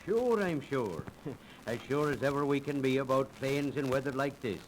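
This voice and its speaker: An actor playing a folksy, cheerful airfield dispatcher with colloquial, reassuring talk in a 1930s radio drama. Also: male voice, medium pitch, moderate pace, lightly acted. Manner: colloquial, reassuring, chuckling, hollering. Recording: noisy old 1930s radio recording.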